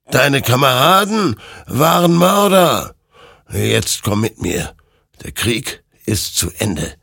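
A man performs an ancient spectral rider made of tormented souls, cold and all-knowing. He pronounces each phrase slowly and solemnly in a husky, menacing voice, recorded dry for a dark audio story. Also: on the low side, dry recording, full character voice. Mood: cold triumph.

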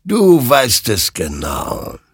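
A man voicing a menacing, all-knowing demonic rider of smoke in a dramatic story, speaking slowly and solemnly with a hiss. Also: mid-range voice, wildly swooping pitch, husky, dry recording, studio quality, pitched above the performer's own voice, full character voice. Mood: solemn, commanding. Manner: slow, solemn, hissing and whispering.

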